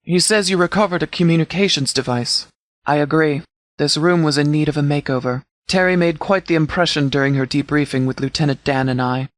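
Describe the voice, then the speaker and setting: A man playing a stern sheriff in a video game, giving formal, measured briefings with authority. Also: a little high, dry recording, close to an everyday voice. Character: stern, formal sheriff commanding a post-apocalyptic settlement militia. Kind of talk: scripted video game dialogue, military briefing.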